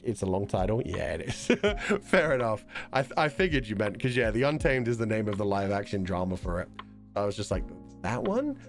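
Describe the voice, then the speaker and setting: A man livestreaming and chatting casually with viewers while reading their donations, talking through a sore, unwell throat in an easygoing way, laughing often and swearing casually. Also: lowish voice.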